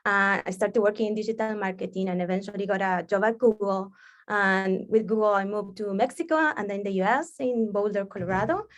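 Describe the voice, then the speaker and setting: A woman's voice: medium pitch, fairly even intonation, a little fast. A woman from Argentina, a student panelist introducing herself over a video call in an upbeat, friendly way.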